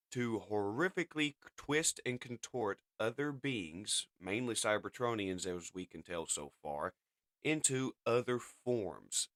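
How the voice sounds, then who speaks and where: A man with a fairly low voice reads and reviews comics. He moves between dramatic narration and casual commentary, recorded dry without room sound. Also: a bit bright, dry recording.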